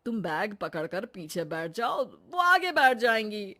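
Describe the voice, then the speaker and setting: Woman doing a flirty flustered cartoon husband, slow, stammery bashful excuses. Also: medium pitch, sing-song, bright, forceful tone. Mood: flustered, wheedling. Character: flustered, sly, flirtatious middle-aged husband. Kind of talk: comic cartoon skit.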